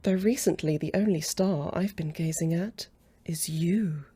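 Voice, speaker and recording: A woman's voice: on the low side, a little slow, warm tone, breathy edge. A woman playing a haughty, possessive aristocratic female vampire in a roleplay audio drama, flirtatious and mocking, with a condescending drawl. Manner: drawling, taunting, chuckling condescension.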